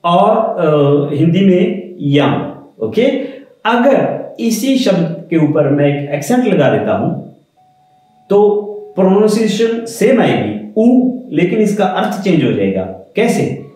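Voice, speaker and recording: Male tutor, slow and mellow, lively pitch, very echoey room. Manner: slow, patient explanation, repeating key words. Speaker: French teacher. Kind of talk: explaining to viewers.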